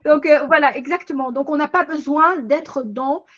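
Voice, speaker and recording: A woman's voice: high voice, normal speed, a bit bright, highs cut off. An older woman hosting a webinar, recapping and reframing her guest's points in a thoughtful way over a video call. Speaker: webinar host. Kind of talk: webinar discussion, summarizing.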